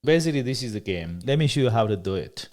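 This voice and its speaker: A man whose first language is Chinese, walking through a software demo in a tutorial, explaining step by step with frequent pauses and self-corrections. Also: medium pitch, lively intonation. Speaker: developer giving a product demo. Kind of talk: screen-recorded tutorial walkthrough.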